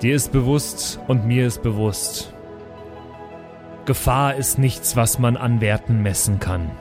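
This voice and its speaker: Man playing a ponderous, slow-talking orator over music. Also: mid-range voice. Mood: solemn persuasion. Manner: ponderous, deliberate, rhetorical. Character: slow, solemn male would-be persuasive orator. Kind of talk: improvised in-character tabletop roleplay speech. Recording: music clearly behind the voice.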